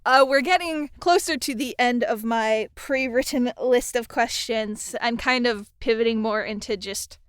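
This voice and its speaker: A woman's voice: a little high, a little slow, hardly any pauses, clean tone, no room sound, clean studio sound. A woman interviewing a fellow producer in a casual, friendly way, reminiscing about the work they shared.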